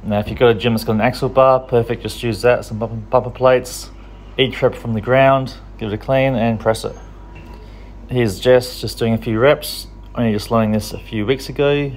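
A young man from a strongman gym, giving a relaxed, practical training tutorial in plain everyday words. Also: medium pitch, normal speed, slight background noise. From Australia. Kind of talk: casual training tutorial voice-over.

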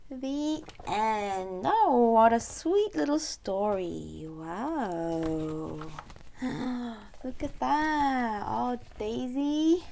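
A woman reading a picture book aloud as a children's host, expressive and chatty, with exclamations and asides. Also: a little high, drawn-out words, gravelly, a little hiss or hum.

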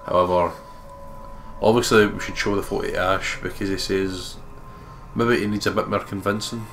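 A man's voice: lowish voice, fairly even intonation, moderate pace, a bit bright. A man, chatting casually as a co-commentator on a game. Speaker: let's play co-commentator. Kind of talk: casual chat. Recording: music behind the voice. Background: game music.

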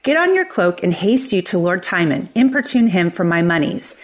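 A woman's voice: on the low side, big pitch swings, normal speed. Woman playing an imperious, indignant Shakespearean senator. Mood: impatient, commanding. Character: impatient, indignant senator demanding repayment. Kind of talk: unrehearsed reading of a Shakespeare play.

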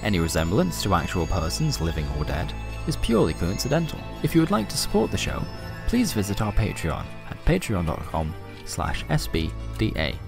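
A man's voice: low-pitched, huge pitch swings, a little fast, creaky, music in the background. A man reading scripted closing credits in a formal announcer manner.

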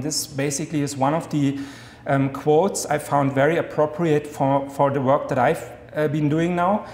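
A man in his thirties with a German accent gives an enthusiastic academic lecture from a podium, talking fast with many fillers and restarts. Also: a little high, a little mellow, a little hiss or hum. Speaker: guest lecturer. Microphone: podium mic. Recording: echoey lecture hall, long reverb.

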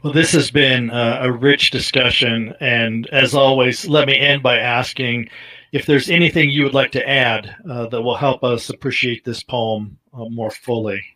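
A middle-aged man hosting an interview over a video call on a headset, pausing often with ums and uhs. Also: mid-range voice, fairly even intonation, bright, forceful tone, low-quality recording. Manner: hesitant, many ums and uhs. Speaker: interviewer, host. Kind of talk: interview questions.

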